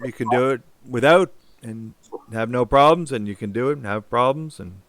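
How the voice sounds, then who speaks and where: A man's voice: medium pitch, normal speed, a bit bright, no echo. Agreeable mid-voiced man, dry sound, short affirming remarks. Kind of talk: casual group chat. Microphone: online call.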